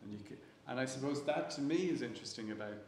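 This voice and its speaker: A man's voice: medium pitch, fast talker, darkish tone. A man moderating a panel, thinking aloud and repeating words as he goes. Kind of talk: unscripted panel discussion. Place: indoor gallery event space. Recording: echoey room, faint noise.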